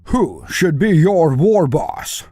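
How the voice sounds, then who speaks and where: Man doing a rough-voiced ork chieftain, declaiming to a crowd, faint music under. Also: fairly high pitch, sing-song, slow, dark tone, no room sound, voice pushed up, full character voice. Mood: rousing. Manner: declaiming, rhetorical questions.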